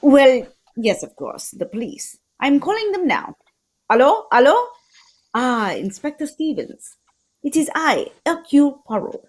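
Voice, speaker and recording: A woman's voice: high voice, big pitch swings, unhurried. A woman acting a polite, fussy Belgian male detective with a French accent and formal, theatrical delivery, in radio mystery style. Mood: brisk, formal.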